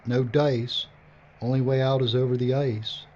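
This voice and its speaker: A man playing a dry, matter-of-fact, mildly pedantic filmmaker in an audio drama, deadpan in delivery. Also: medium pitch, a little slow, highs cut off, slight background noise, close to an everyday voice.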